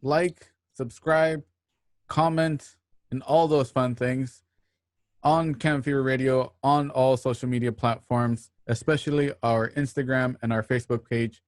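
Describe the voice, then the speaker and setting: A man's voice: mid-range voice, steady tone, measured pace, no echo, studio quality. A man hosting an online radio drama show, casually giving thanks and announcements to listeners.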